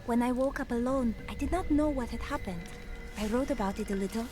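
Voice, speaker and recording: A woman playing a modest young fantasy game companion. Her voice is on the high side, earnest and polite, with music clearly behind it. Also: fairly quick, close to an everyday voice. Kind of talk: video game character dialogue.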